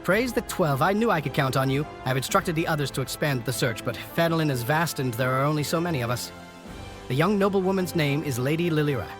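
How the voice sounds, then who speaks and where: Actor as a formal, higher-pitched dutiful station master. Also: male voice, expressive, fast, music in the background, lightly acted. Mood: relieved, purposeful. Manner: formal, courteous, grave. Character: earnest, dutiful male station master guarding a noble secret. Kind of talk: scripted video game cutscene acting.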